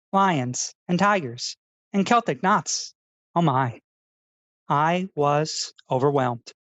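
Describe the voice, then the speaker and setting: A man playing an earnest, insecure public-radio essayist with a precise, soft, overly sincere delivery in a radio comedy. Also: fairly high pitch, no echo, lo-fi sound. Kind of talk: confessional public-radio narration parody.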